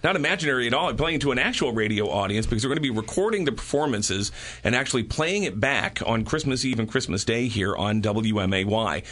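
An American man interviews guests on the radio in a mid-pitched voice. He is talkative and jokey, keeping things conversational. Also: expressive, fairly quick, fairly bright tone. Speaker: radio interviewer and cast member.

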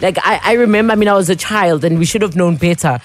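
A woman with a South African accent hosting a talk radio show, chatty and quick to laugh. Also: on the low side. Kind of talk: casual talk radio chat. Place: radio studio.

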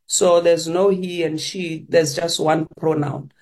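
An older woman in her sixties, a Sesotho speaker and linguistics professor, answering slowly as a guest with many pauses and fillers. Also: lowish voice, fairly even intonation, darkish tone, low-quality recording. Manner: slow, hesitant, many fillers. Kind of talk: radio interview, phone-in guest.

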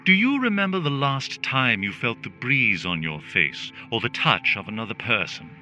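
An actor playing a caring but menacing government relaxation robot in a dystopian radio drama, putting slow, rhetorical questions. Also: male voice, mid-range voice, sing-song, bright, forceful tone. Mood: eerie soothing. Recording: music under the voice.

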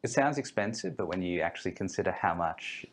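Aussie guy with a really low voice, lots of ums, chatting in an interview. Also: animated, fairly quick, breathy edge. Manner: hesitant, many ums and restarts. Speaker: fund manager guest. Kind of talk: podcast interview.

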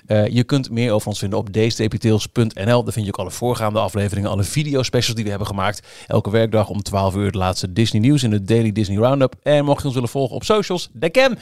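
A man's voice: mid-range voice, darkish tone, no echo. Male podcast host, close and dry. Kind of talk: casual podcast presenting. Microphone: close podcast microphone.

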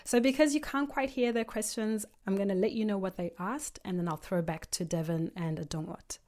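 A woman's voice: mid-range voice, brisk, a little mellow. A woman podcast host giving an informal narrated aside to listeners.

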